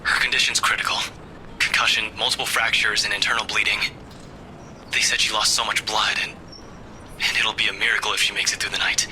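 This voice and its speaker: A man voicing a blunt, grim young man who breaks bad news haltingly, in a visual novel game. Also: on the low side, level tone, pushed and bright, a little hiss or hum, rough recording. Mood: grim, shaken. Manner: hesitant, halting, terse.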